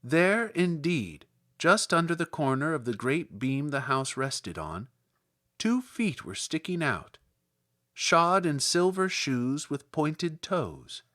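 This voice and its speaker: A man reading a classic children's story aloud as narrator, in an expressive, measured storytelling manner. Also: a little high. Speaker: audiobook narrator.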